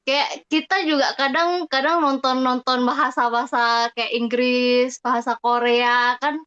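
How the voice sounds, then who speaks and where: A woman's voice: high voice, little pitch movement, a little slow, fairly bright tone, clean tone. A woman chatting casually and asking curious questions. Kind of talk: casual chat. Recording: muffled lo-fi audio.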